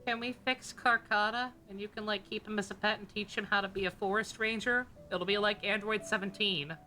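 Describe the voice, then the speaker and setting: A woman's voice: mid-range voice, steady tone, fairly quick, bright, forceful tone, soft background music. A woman game streamer giving casual, irreverent live commentary, rambling and swearing freely.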